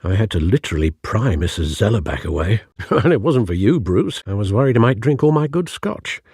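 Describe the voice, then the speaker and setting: An actor voicing a loyal older butler in a video game, husky, with a dry, measured, gently admonishing manner. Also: male voice, fairly low pitch, sing-song, no echo, exaggerated voice. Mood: wry, concerned. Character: loyal, dryly witty old male butler and mentor.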